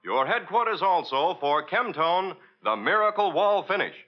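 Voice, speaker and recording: A man's voice: high voice, sing-song, moderate pace, faint music behind. A male announcer reading scripted introductions and sponsor copy in an emphatic, polished 1940s radio style. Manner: polished, emphatic sales delivery. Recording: muffled old radio recording.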